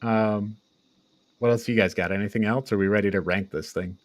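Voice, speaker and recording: A man's voice: on the low side, steady tone, brisk, no room sound. A man hosting a podcast, chatting casually as part of a panel reviewing movies. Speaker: podcast host.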